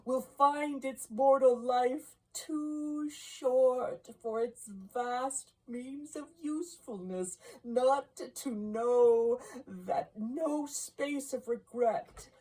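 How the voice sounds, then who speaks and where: A grave, tormented storybook ghost, acted out by a woman, bound in chains and full of sorrow. The words come out very slowly in long, loud, declamatory wails, with the pitch lifted above a soft-toned base. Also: full character voice, poor audio. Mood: anguished. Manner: slow, declamatory, drawn-out wailing. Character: anguished male ghost in chains, mournful and grave.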